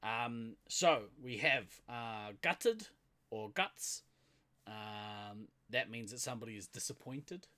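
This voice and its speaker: A man's voice: medium pitch, slow, bright, forceful tone. A young man with a New Zealand accent co-hosting a podcast, playfully explaining slang and laughing often. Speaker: podcast co-host. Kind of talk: casual chat.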